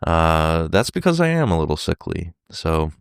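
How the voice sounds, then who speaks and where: A man hosting his solo podcast while sick, with a sore throat and a fading voice, talking casually with many fillers and throat clears. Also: sits low, big pitch swings, moderate pace, no room sound, studio quality. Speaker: podcast host.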